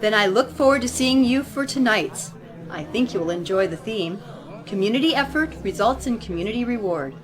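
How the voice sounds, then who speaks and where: An actress playing a stern, zealous religious leader in an audio drama, speaking in a formal, commanding, preaching way. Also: female voice, fairly high pitch, animated, brisk, a crowd in the background, natural voice. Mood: pious, formal. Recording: music playing behind the voice.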